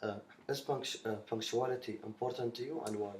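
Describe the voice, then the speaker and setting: A man's voice: medium pitch, fairly even intonation, fairly quick, darkish tone, a little hiss or hum. Hesitant young man interviewing. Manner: hesitant, feeling for words. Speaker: student interviewer. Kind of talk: interview questions, halting delivery.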